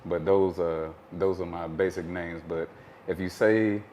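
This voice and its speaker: An American man as an interview guest, telling his story in a thoughtful, measured way with frequent fillers. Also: low voice, fairly even intonation, a little mellow, slight background noise. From United States.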